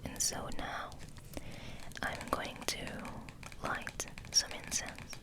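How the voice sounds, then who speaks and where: A woman hosting ASMR in a soft, gentle whispered ramble, hushed and unhurried, sometimes softly repeating words. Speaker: ASMR host.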